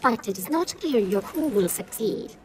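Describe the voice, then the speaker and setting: An actress playing a cold, scheming insect-woman minister in a fantasy game, formal, detached and calmly menacing. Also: a little high, normal speed, noisy background, natural voice. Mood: calculating. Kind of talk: dramatic fantasy game acting.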